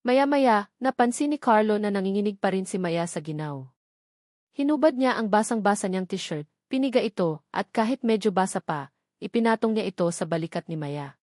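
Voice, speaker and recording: A woman's voice: medium pitch, darkish tone, no room sound, clean studio sound. A woman narrating a romance story as a dramatic, scripted audiobook.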